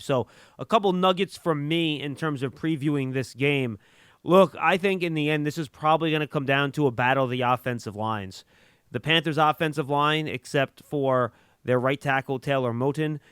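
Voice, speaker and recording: A man's voice: on the high side, fairly bright tone, clean tone, no room sound, clean studio sound. A man hosting a sports podcast, giving conversational, analytical game preview commentary. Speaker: podcast host.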